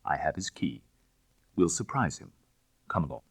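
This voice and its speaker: A man plays a deep-voiced gentleman thief from a classic crime story, suave and cynical. His delivery is breezy and commanding, swinging widely in pitch as he teases in old-fashioned upper-class slang. The sound is close and very dry. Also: sing-song, moderate pace, gravelly, husky, dry recording, exaggerated voice. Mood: jaunty, confident. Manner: jaunty, teasing, Edwardian gentlemanly slang. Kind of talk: dramatised audiobook reading of classic fiction.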